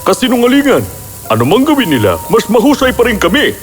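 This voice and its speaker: A man's voice: very high-pitched, wildly swooping pitch, fast, long reverb tail, heavily acted. A man voicing a proud, suspicious dwarf craftsman in a fairy tale, with emphatic, theatrical delivery. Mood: boastful. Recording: loud background music.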